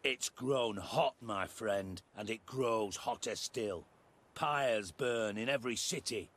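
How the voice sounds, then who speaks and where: An actor playing a cunning, blunt middle-aged spymaster in a video game, speaking deliberately and sardonically with a British accent. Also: male voice, fairly low pitch, fairly bright tone. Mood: indignant. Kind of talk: video game cutscene dialogue.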